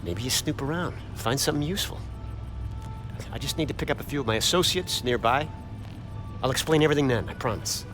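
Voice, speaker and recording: A man's voice: mid-range voice, lively intonation, brisk, music in the background, lightly acted. A man as a brash, swooping-voiced rogue pilot. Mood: persuasive. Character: brash, evasive male airship pilot, persuasive rogue. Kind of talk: cinematic video game acting.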